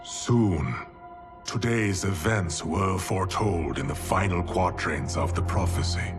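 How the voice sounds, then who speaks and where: An actor playing an ominous, ambitious prophet-sorcerer in a video game, formal and portentous. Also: male voice, sits low, takes it slowly, over music. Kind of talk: video game cutscene acting.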